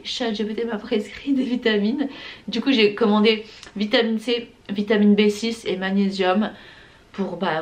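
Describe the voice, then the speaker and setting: A young woman chatting casually to camera in a slangy, emphatic way, dropping in English phrases. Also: mid-range voice. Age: young adult. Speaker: vlogger. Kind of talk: casual vlog chat to camera. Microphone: camera or phone held while vlogging. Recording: clearly noisy everyday vlog audio.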